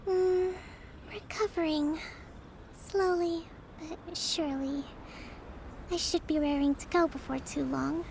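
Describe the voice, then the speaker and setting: A woman voicing a young female divine priestess who leads an army, composed and authoritative in a measured way. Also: extremely high pitch, steady tone, gravelly, a little mellow, slight background noise, exaggerated voice. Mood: soft reflective. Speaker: commander. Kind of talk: video game voice acting. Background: game music.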